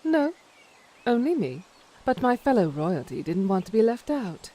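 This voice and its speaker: A woman's voice: a little high, sing-song, a little slow, dark tone. A woman voicing a haughty, scheming adult female dragon queen in a fan dub.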